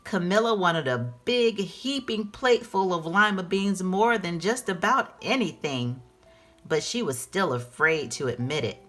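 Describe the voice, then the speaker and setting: A woman narrating a children's picture book aloud in an expressive scripted storytelling style. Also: on the low side, unhurried, faint music behind.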